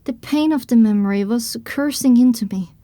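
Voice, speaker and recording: A woman reading scripted first-person narration as the heroine of an audio drama, recounting her dark adventure. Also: on the high side, normal speed, dry recording, natural voice. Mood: somber recounting.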